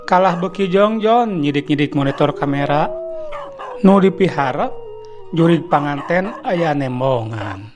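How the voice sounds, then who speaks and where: A man's voice: animated, unhurried, over music. A man with a Sundanese accent narrating a spooky radio tale in a dramatic, rising storytelling manner. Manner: dramatic, rising pitch on suspense.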